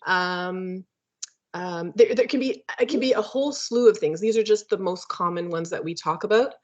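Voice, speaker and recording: Webinar presenter, a woman with a lowish voice speaking through a muffled video call, hesitant and reflective. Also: moderate pace, a little mellow. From Canada. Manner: thoughtful, hesitant, many fillers. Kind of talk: academic webinar talk.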